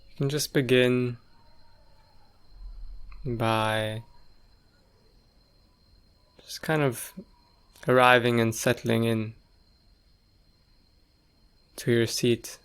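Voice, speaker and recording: A man leading a guided meditation, speaking gently and leaving long pauses between short phrases. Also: medium pitch, little pitch movement, smooth. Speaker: meditation teacher.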